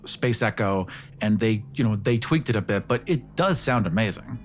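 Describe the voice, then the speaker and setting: A man's voice: lowish voice, lively intonation, normal speed, a little hiss or hum. A man talking casually as one of the panelists in an informal discussion. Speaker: podcast panelist. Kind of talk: casual chat.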